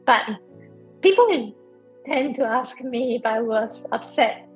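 Young woman guest, higher voice, thoughtful answers, faint music behind. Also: expressive, slow pace, low-quality recording. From Singapore. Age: young adult. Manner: thoughtful, hesitant with fillers. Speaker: Paralympic swimmer, guest. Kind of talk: casual interview answers.

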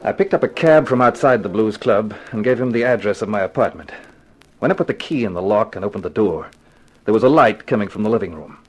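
An actor playing a cool, flirtatious, wisecracking private eye in a 1950s radio detective drama, with wry, slangy, clipped delivery. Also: male voice, lowish voice, lively intonation, fast, husky. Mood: wry, terse. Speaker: actor and first-person narrator. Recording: 1950s radio recording with music behind.

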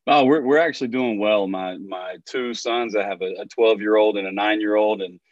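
A hoarse man in his forties, a basketball trainer and podcast guest, talking earnestly and often repeating words. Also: lowish voice, moderate pace, no echo, highs cut off.

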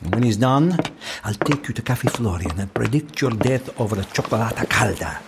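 A man's voice: mid-range voice, expressive, a little fast, vocal fry, very noisy. An actor playing a centuries-old Venetian man, fatalistic and intense, in an audio drama. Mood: brisk, dry.

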